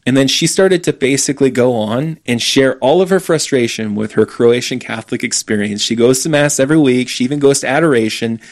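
An earnest man telling a story in relaxed conversation, mid-pitched and natural. He animates the tale with bits of quoted dialogue and steady conviction. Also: fairly quick, few pauses. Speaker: ministry speaker.

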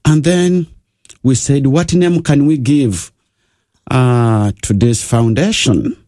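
A man from Uganda, a pastor who is a guest on a radio show. He tells his story with pauses. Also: medium pitch, dark tone, no room sound, studio quality. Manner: slow, telling a story with pauses. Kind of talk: radio interview. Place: radio studio.

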